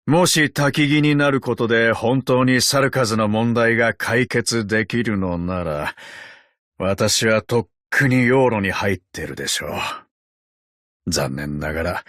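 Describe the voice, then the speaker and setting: Courteous old undead detective, lilting delivery. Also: male voice, fairly low pitch, no room sound, studio quality. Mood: grave, reflective. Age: older. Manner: polite, unhurried, sardonic gentlemanly speech. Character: courteous, wry ancient undead detective in a tin exoskeleton. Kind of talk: Japanese game character voice lines.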